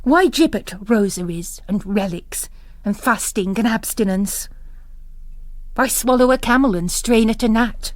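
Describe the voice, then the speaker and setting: A woman's voice: fairly high pitch, measured pace, no echo, close to an everyday voice. An ageing, faithless priest, as voiced by a woman reader, agitated and full of rhetorical questions. The voice is close and dry, worldly and weary, as in a radio book reading. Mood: agitated confiding. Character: agitated ageing male priest who lost his faith.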